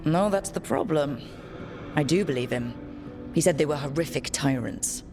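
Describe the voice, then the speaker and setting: A decisive young heroine of a fantasy video game, speaks in a low, measured voice. She is frank and matter-of-fact, with prominent music playing behind her. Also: expressive. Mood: measured, resolute. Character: level-headed, decisive young woman leading a fantasy party. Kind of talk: video game cutscene dialogue. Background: prominent game soundtrack music.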